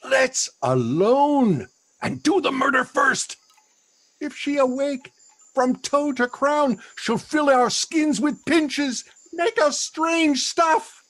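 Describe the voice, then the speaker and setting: An actor playing a servile, scheming, fretful island monster in a Shakespearean radio play, with a raspy, creaky voice. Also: male voice, extremely high pitch, animated, slow pace, a bit bright, heavily acted, rough recording. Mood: impatient, fretful.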